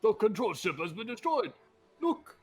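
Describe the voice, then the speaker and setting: Guy doing a script read, high voice jumping all over the place, some noise behind. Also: big pitch swings, moderate pace, a little mellow, husky. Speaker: cast reader in a group script reading. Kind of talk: amateur comedic script reading.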